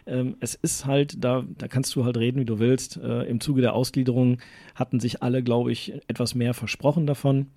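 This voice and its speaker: Westphalian-born male co-host, mellow and dry-sounding, hesitant and rambling. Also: mid-range voice, flat delivery, moderate pace, no echo. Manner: rambling, hesitant, frequent tag questions. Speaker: podcast co-host. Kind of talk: casual chat.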